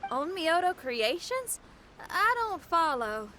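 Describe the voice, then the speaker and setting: A woman voicing a shrewd, confident young female adventurer with an expressive, assured delivery in an anime-style video game. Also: very high-pitched, slow pace, slight background noise, exaggerated voice. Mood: curious. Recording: game music behind.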